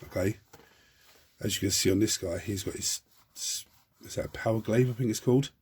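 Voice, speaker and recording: A man hosting a model-building tutorial, talking in a chatty, rambling way with a croaky, hoarse voice and many restarts. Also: on the low side, moderate pace, a little mellow, slight background noise. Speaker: hobby channel host.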